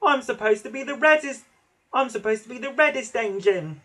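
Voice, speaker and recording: A vain storybook engine with a wounded ego, voiced by a man. He moans in an affronted, high-pitched voice that swoops about. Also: expressive, a little fast, sharp tone, exaggerated voice. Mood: moaning.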